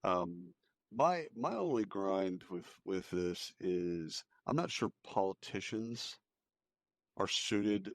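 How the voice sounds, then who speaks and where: A man's voice: deep voice, animated, slow pace, no echo. An American man co-hosting a podcast, discussing news in a casual, opinionated, informal way and repeating phrases for emphasis. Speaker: podcast co-host.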